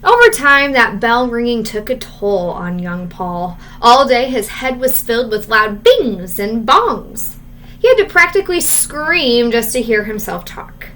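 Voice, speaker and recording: A woman reading a children's storybook aloud as a teacher, expressive and measured, stressing key words and adding asides. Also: fairly high pitch, slight background noise.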